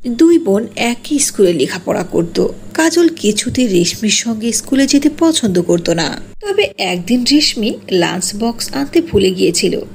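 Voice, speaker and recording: A woman's voice: on the high side, sing-song, unhurried, vocal fry, a little mellow, a little hiss or hum. A woman narrating a scripted cartoon fairy tale.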